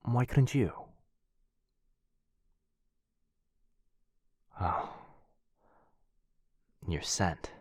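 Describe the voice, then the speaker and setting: Casting: male actor as noble, melancholy vampire lord for ASMR roleplay; low register, soft formal delivery, protective, close and dry sound. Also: lively intonation, drawn-out words, no room sound, full character voice. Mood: gentle, concerned. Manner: soft-spoken, formal, tender, with hushed breathy dips. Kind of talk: intimate boyfriend ASMR roleplay.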